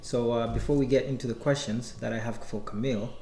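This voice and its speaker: A man hosting a casual interview podcast, asking questions in a relaxed way and offering brief affirmations. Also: medium pitch, quick pace, roomy sound, a little hiss or hum. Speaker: podcast host.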